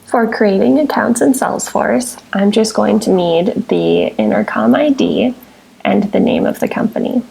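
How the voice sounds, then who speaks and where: A woman presenting a software tutorial as scripted, step-by-step screencast narration, with measured, instructional phrasing. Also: medium pitch, gravelly, breathy edge, low-quality recording. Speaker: software tutorial presenter, technical support engineer.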